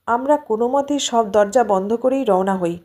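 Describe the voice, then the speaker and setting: A woman reading a literary story aloud as an audiobook narrator, in an expressive, emotive manner. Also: fairly high pitch, normal speed.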